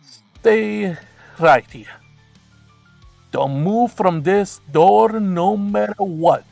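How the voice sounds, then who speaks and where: A man's voice: high-pitched, drawn-out words, creaky, a little mellow, dry recording, soft background music, pitched above the performer's own voice, exaggerated voice. A man voicing a tall, stern male security guard who gives curt orders in a Finnish accent.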